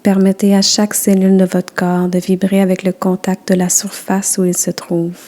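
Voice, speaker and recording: A woman guiding a yoga nidra relaxation session, giving soft, soothing instructions. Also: mid-range voice, completely flat delivery, normal speed. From Quebec. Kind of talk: guided relaxation.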